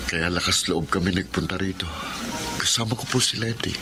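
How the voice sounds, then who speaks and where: A man voicing a devoted husband and son in a radio drama, speaking gently and earnestly. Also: sits low, fairly quick, lightly acted. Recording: loud background music.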